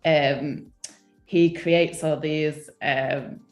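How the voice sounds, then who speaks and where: A young woman from England, a guest designer chatting casually on a live stream, hesitant with many ums and soft laughs. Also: sits low, fairly even intonation, extremely slow, reverberant, faint music behind.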